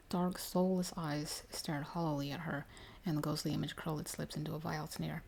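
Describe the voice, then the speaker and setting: Creaky, soft-spoken woman reading ASMR stories. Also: low voice, a little hiss or hum. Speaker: reader of paranormal stories for ASMR. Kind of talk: ASMR reading aloud of a narrative book.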